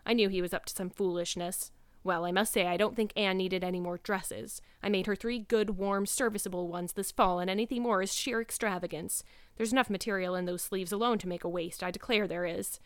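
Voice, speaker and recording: Storybook guardian read by a woman: no-nonsense older woman, stiff, brisk, disapproving, mid-pitched. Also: steady tone, smooth, dry recording, lightly acted. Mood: stiff, scornful.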